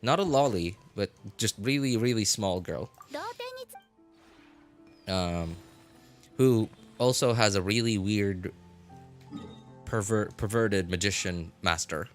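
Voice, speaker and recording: A man streaming a game live, chatting casually and haltingly as he thinks aloud. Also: lowish voice. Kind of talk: casual rambling livestream chat. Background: music.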